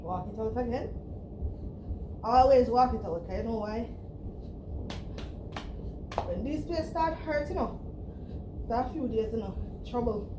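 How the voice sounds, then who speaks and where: A woman's voice: on the high side, measured pace, pauses often, noisy background. A woman with a Jamaican accent talking playfully to her viewers in a casual home workout vlog. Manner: chatty and playful, breathless after exercise. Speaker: vlogger.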